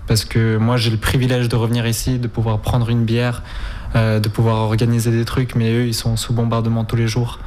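A young man. His delivery stays flat and even, with little rise or fall. He pauses often and restarts his sentences as he searches for words. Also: medium pitch. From Spain, raised in Ukraine. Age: 20s. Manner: halting, frequent hesitations. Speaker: guest. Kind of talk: interview answers.

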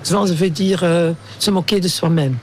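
A woman's voice: lowish voice, steady tone, measured pace. An older woman with a Flemish accent, a native Dutch speaker, gives a casual interview. She searches for words as she goes, and faint city noise sits behind her voice. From Belgium. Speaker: interviewee, local resident.

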